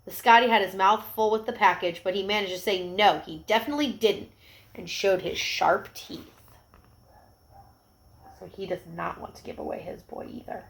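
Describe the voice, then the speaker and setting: A woman reading a picture book aloud to young children, expressive, with gentle explanatory asides. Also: mid-range voice, normal speed, gravelly.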